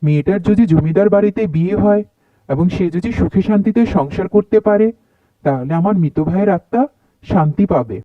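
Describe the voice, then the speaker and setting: A man voicing a rustic, soft-hearted middle-aged father in a cartoon, with a Bangladeshi accent and a pleading manner. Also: sits high, moderate pace, no room sound, dull audio, lightly acted. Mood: moved, grateful. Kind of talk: Bengali cartoon voice acting.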